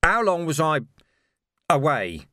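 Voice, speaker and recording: A man voicing a weary, dogged city watch commander in a storybook reading, with a growling, croaky edge and blunt, clipped orders. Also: on the high side, very animated, slow pace, sharp tone, no room sound, heavily acted. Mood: groggy, irritable.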